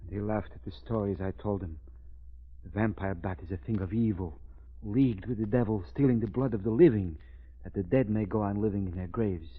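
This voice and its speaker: Low-voiced superstitious manservant played by an actor. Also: male voice, brisk, natural voice. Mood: foreboding. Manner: grave, ominous, dramatic. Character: superstitious, fearful male servant, grimly resigned. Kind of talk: 1930s radio drama acting. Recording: muffled, tinny old radio recording.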